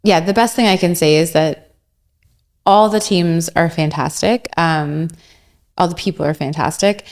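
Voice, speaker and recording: A woman's voice: fairly low pitch, measured pace. A woman, chatting as a podcast guest, upbeat and full of ums. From Canada. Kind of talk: casual podcast chat.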